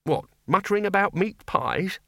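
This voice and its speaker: A man's voice: high-pitched, expressive, moderate pace, a bit bright, no echo, clean studio sound, voice pushed up. A man voicing a nervous, harried male wizard who works as a bursar, in an audiobook. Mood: jolted. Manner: anxious. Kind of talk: audiobook character voice.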